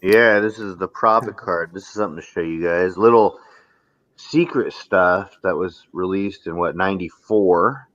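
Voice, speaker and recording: Low-voiced man on a dull dry mic. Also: normal speed, a bit bright, dry recording. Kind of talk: casual livestream chat.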